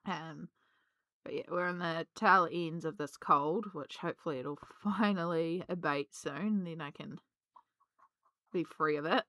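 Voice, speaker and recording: A woman, slightly hoarse from a cold, chatting casually and rambling with many ums while she colours. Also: low voice, unhurried, bright, forceful tone, no room sound. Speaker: colouring vlogger.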